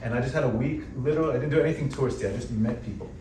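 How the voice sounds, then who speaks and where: A man who grew up in the United States, speaking on a panel in a relaxed, conversational way with lots of "you know" fillers. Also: mid-range voice, rapid-fire. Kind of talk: panel discussion. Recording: roomy hall, distant mic, background noise.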